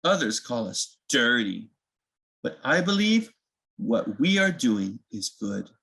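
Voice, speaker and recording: A man's voice: medium pitch, sing-song, slow, creaky, pushed and bright, husky, full character voice. A man voicing an earnest, curious young village woman in a children's storybook.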